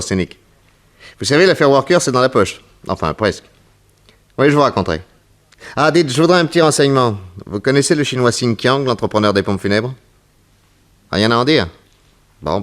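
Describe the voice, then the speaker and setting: An actor playing a cool, shrewd private detective, offhand and assured, in a 1960s French radio crime drama. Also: male voice, medium pitch, natural voice.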